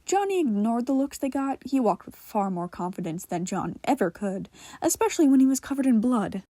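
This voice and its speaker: A woman's voice: a little high, sing-song, fairly quick, dark tone, dry recording. A woman reading scripted fiction aloud as a narrator.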